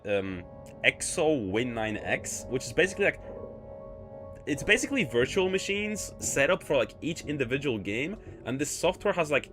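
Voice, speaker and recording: A man from Poland with a mixed British and American accent, chatting and thinking out loud while streaming a game. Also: mid-range voice. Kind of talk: casual gaming livestream chat. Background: music.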